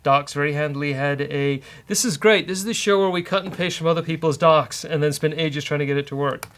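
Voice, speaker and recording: A man's voice: on the high side, a little fast, a bit bright. A man hosting a casual programming walkthrough, thinking aloud in a wry, hesitant way. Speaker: host, programming instructor. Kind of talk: casual live-coding walkthrough.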